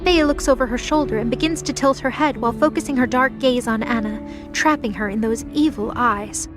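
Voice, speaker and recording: High-voiced woman narrating a dramatic story. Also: a little fast. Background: music.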